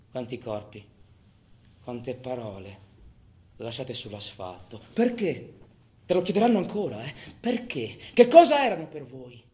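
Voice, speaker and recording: A man playing a cocky, menacing robber who taunts his partner in crime in a theatrical monologue, swinging between loud bragging and quiet menace. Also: mid-range voice. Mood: grave, accusing. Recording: echoey room, faint background noise.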